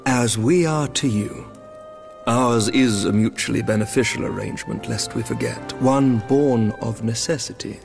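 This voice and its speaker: An actor voicing a courteous knight commander in a fantasy game, speaking formal, measured lines with a British accent. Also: male voice, mid-range voice, gravelly, soft background music, close to an everyday voice. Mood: composed, earnest. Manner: formal, stately, measured diction. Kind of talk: dramatic fantasy game voice acting.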